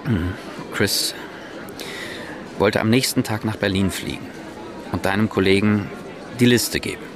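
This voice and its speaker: An actor playing a composed man in a radio crime drama, speaking in a calm, low-key way. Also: a little slow, crowd noise, natural voice. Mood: tense. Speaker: Frank Teschemacher, lead character.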